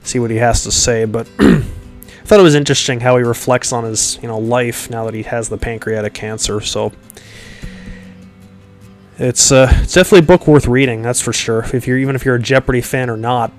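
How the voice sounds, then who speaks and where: A man's voice: mid-range voice, a little fast, soft background music. A man hosting a podcast in casual, rambling chat, with a nasal, congested-sounding voice and lots of fillers and restarts. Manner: rambling, many fillers and restarts, chuckles often. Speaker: podcast host.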